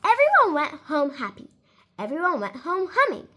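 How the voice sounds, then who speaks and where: A woman reading a children's storybook aloud in an expressive, deliberate way for young listeners. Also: high voice, a little hiss or hum.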